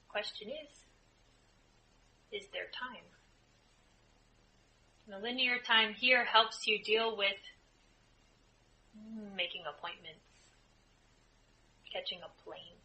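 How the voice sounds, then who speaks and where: A woman with a very bright, clear-cut tone leads a guided meditation as a channeler. She speaks very slowly, in deliberate phrases separated by pauses. It is recorded live in a room, with some background noise. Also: mid-range voice, rough recording. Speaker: spiritual channeler leading group meditation. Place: indoor room, live group setting.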